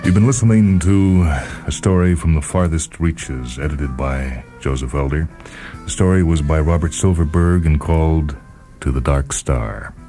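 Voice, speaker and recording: Very deep, mellow American male storyteller. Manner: slow, measured, deliberate, grave delivery. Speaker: radio host and story reader. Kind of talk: dramatic scripted short-story narration. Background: music.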